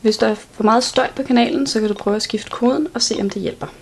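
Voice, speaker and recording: A woman's voice: a little high, fairly even intonation, slightly rough. A woman giving an instructional product walkthrough in a plain, step-by-step way. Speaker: product demonstrator.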